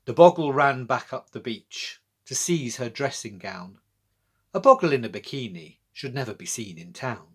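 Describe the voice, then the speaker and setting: A man reads and talks to children in a very animated voice that rises and falls widely. His medium-pitched voice has a husky, slightly rough edge. He addresses his young audience directly, like a storyteller explaining things between verses. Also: moderate pace. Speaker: poet reading own children's poems. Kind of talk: children's poetry reading with friendly explanatory chat.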